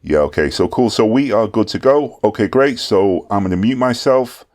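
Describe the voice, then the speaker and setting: Deep-voiced man hosting a webinar. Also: expressive, moderate pace, a little mellow. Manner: casual, filler-heavy hand-off talk. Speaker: webinar host. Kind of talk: introducing a guest speaker.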